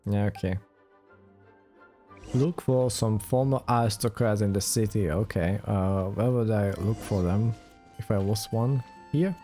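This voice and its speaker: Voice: mid-range voice, measured pace, mellow, soft background music. A gaming streamer giving casual let's play commentary, muttering sarcastic asides.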